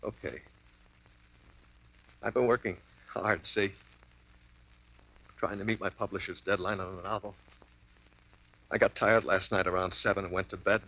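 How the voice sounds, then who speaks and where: An actor playing a frantic, shaken mystery writer in a hospital ward, in a 1950s radio drama. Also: male voice, sits low, fairly even intonation, normal speed, pauses often, sharp tone, full character voice, rough recording. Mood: shaky. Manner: agitated, pleading, shaky. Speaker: mystery author. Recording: old radio broadcast.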